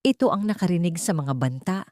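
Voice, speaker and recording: A woman's voice: fairly low pitch, lively intonation, brisk, darkish tone, no echo, clean studio sound. A woman with a Filipino accent giving a dramatic scripted narration as an audiobook narrator.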